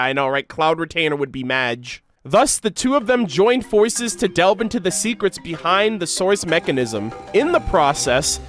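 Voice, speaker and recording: A man hosting a reaction video, reading game lore aloud in an expressive way with casual, slangy asides. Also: on the high side.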